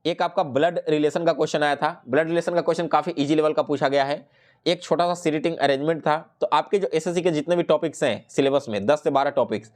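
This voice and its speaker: A man speaks in a slightly high voice. He explains material like an exam-prep teacher, talking straight to his students and dropping English terms into his sentences. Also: a little mellow. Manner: instructional, direct address to viewers, English terms mixed in.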